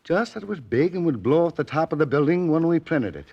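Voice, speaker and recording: A male newspaper editor in old-time radio drama style, with a lively, swooping delivery. He talks in clipped, colloquial phrases, reluctant yet obliging, on a muffled vintage recording. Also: on the high side, fast talker, husky. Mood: matter-of-fact. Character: male newspaper editor, plain-spoken, obliging but hesitant. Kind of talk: 1940s radio drama acting.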